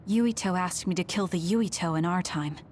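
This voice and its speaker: A woman's voice: fairly low pitch, moderate pace, darkish tone, a little hiss or hum, natural voice. A woman playing a reserved, serious young soldier in an English game dub, speaking in a terse, restrained way. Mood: guarded.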